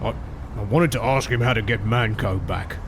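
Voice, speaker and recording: A man's voice: on the low side, normal speed, background noise, natural voice. A man voicing a brash, hot-headed, macho adventurer in a bombastic, declaiming way for a comic dub. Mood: subdued.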